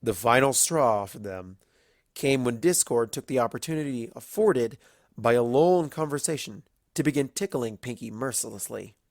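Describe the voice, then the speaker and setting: A narrator reading scripted fan fiction as an animated storyteller, with dramatic pauses. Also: medium pitch, no echo, studio quality.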